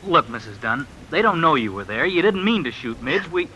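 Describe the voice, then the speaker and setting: An actor playing an earnest young friend in a 1950s radio drama, speaking in a reassuring way. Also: male voice, a little high, very animated, fairly quick, pushed and bright, dull audio, slight background noise.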